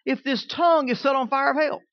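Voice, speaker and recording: A man in his fifties with a Southern twang delivers a sermon in a higher-than-usual voice, speaking conversationally and pausing often to check that his listeners are following. The recording is dry and a little dull. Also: steady tone, no echo. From Kansas. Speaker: pastor.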